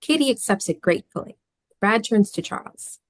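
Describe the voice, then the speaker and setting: A woman's voice: mid-range voice, lively intonation, moderate pace, no echo. A woman reading stage directions as scripted narration at a table read.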